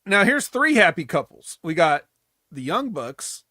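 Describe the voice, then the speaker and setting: A man's voice: sits high, sing-song, measured pace, pushed and bright, dry recording, clean studio sound. A man hosting a casual podcast, recapping in a rambling, wry way full of ums. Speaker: host.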